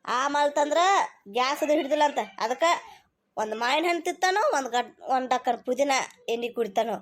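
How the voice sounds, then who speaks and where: A woman's voice: sits high, a little fast, natural voice. A woman voicing a bossy, stubborn, greedy mother-in-law in a cartoon comedy, scolding insistently with a North Karnataka accent.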